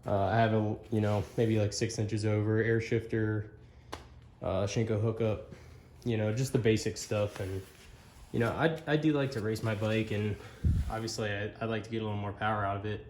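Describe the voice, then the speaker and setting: A man's voice: fairly low pitch, moderate pace. A man giving a casual hands-on product walkthrough in a plain-spoken, somewhat hesitant way. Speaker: amateur motorcycle reviewer. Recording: clear steady background noise.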